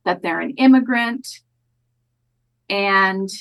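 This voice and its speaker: A woman community college educator speaking as a podcast guest, careful and measured, often restarting her phrases. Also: medium pitch, creaky, a bit bright. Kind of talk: thoughtful podcast interview talk.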